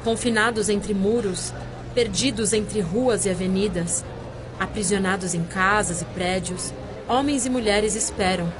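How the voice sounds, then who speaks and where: An actress playing a brooding, free-spirited Amazonian sorceress, narrating an audio drama in a measured, literary manner. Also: female voice, mid-range voice, background noise, natural voice. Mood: solemn, mysterious.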